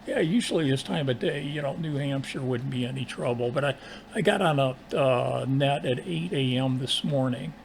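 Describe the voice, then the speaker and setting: A man with a gravelly, creaky voice chats as an amateur radio operator. He talks in a casual but technical way, often halting as he goes. A light layer of noise sits behind him. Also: mid-range voice. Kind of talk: casual ham radio exchange. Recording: constant hiss, ambient background noise.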